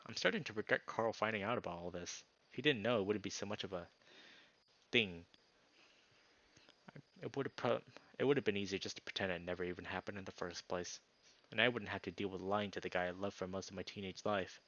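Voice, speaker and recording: Low-voiced man streaming, dull mic. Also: fairly quick, fairly bright tone. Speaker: streamer reading a visual novel aloud. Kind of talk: narration with chat asides.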